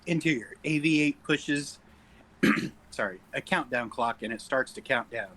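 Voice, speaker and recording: A man's voice: mid-range voice, unhurried, fairly bright tone. A man reading stage directions as narrator at an informal sci-fi script table read.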